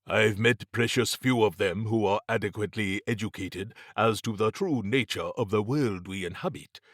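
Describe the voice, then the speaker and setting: A man voicing a wry, erudite gentleman occultist for an audiobook, speaking formally and deliberately with a faintly pompous, understated manner. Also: fairly low pitch, no room sound, studio quality. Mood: grave, measured. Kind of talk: audiobook character acting.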